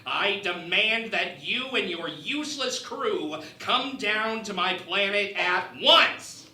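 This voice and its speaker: A man playing a haughty, scheming alien ambassador in a parody sci-fi radio play, speaking in a theatrical, deliberate manner. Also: high-pitched, expressive, bright, forceful tone, echoey room, exaggerated voice, poor audio. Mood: indignant, demanding.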